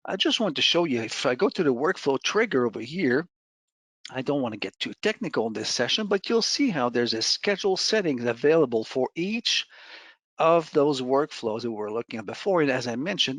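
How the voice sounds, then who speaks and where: Man giving a dry-recorded software demo. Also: medium pitch, dry recording. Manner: conversational, step-by-step explaining. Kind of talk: informal screen-share software walkthrough.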